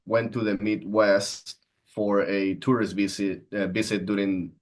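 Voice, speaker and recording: A man at a medium pitch. He talks conversationally as an interview guest, mixing explanation with personal storytelling. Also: level tone, unhurried. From Venezuela. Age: 30s. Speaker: podcast guest, entrepreneur and coach.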